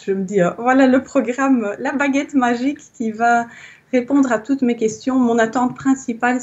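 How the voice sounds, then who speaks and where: Woman, 30s, reflective, pauses to find words, slightly dull lo-fi audio. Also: a little high, a little mellow. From Belgium. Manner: reflective, searching for words, frequent restarts. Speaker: interviewee, yoga teacher. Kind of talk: recorded testimonial conversation.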